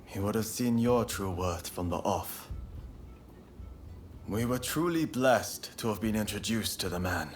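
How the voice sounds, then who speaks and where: An earnest young samurai rebel, very expressive. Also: male voice, lowish voice, unhurried, soft background music. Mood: reflective, warm. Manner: earnest, emphatic, formal phrasing. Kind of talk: video game cutscene acting.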